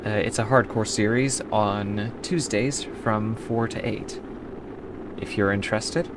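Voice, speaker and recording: A woman's voice: a bass voice, steady tone, slow pace, background noise. A woman from the United States streaming a game and chatting casually with her viewers, easygoing and rambling as she answers chat between moves.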